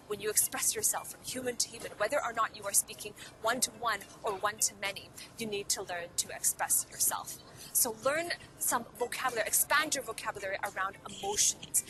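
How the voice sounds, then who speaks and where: A woman from Hong Kong, Cantonese by birth, gives a motivational lesson on confident speaking. Her voice sits mid-range, and she keeps turning to the viewer with questions. Clear music plays under her voice. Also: mid-range voice, low-quality recording. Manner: earnest, full of rhetorical questions to the viewer. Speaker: public speaking coach. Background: background music.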